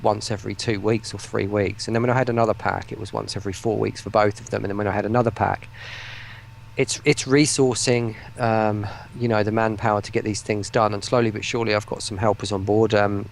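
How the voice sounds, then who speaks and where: British male guest, casual interview. Lowish, creaky voice, halting delivery. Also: level tone, slight background noise. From United Kingdom. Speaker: guest, voice pack producer.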